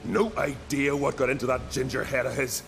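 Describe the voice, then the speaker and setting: An actor playing a loyal, hot-headed young island warrior in a video game, with an earnest, colloquial way of telling a tale. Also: male voice, mid-range voice, flat delivery, brisk, gravelly, a bit bright, slight background noise. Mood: rueful, annoyed. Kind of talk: dramatic video game voice acting.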